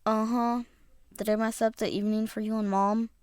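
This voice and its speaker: A woman's voice: fairly high pitch, level tone, normal speed, darkish tone, smooth, no echo, clean studio sound, natural voice. A woman playing an anxious, polite and earnest young boy in an audio drama, speaking hesitantly like a child. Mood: timid, polite. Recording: music under the voice.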